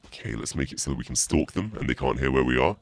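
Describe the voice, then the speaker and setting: A man's voice: expressive, fast talker. A man streaming a horror game, swearing and mocking in theatrical live commentary. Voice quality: deep, gravelly, through a voice changer at times. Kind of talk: live gameplay commentary, trash talk.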